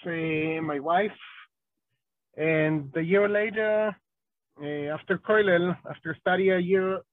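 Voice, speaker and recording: Israeli rabbi guest, slow and halting, high voice, thin phone-line audio. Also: male voice, no room sound. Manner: slow and halting, many ums and uhs. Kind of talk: podcast interview.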